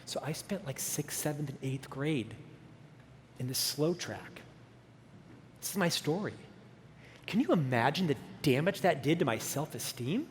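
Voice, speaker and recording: A man's voice: fairly high pitch, animated, measured pace, pauses often, slight background noise. A man in his fifties with a stutter, telling his life story at a motivational conference with self-deprecating humor. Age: 50s. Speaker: keynote speaker. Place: large conference hall stage. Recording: long reverb of a conference hall.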